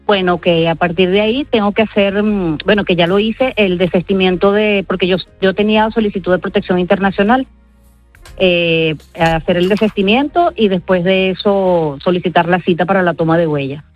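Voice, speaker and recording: A woman from Venezuela with a Venezuelan accent, speaking haltingly in a live news interview, full of fillers. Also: mid-range voice, dry recording, faint music behind. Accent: Venezuelan Spanish. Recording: dry, slightly dull audio.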